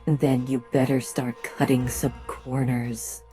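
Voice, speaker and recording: A woman playing a cold, domineering executive in an audio drama, curt, measured and condescending. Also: a bass voice, flat delivery, warm tone. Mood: smug, threatening. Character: cold, domineering corporate executive, sly and menacing. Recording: music under the voice.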